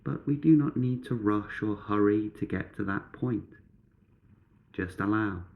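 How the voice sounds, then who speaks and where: A man guiding a meditation in measured, instructive short phrases with pauses between them. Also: lowish voice, creaky, phone-quality sound. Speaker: meditation guide.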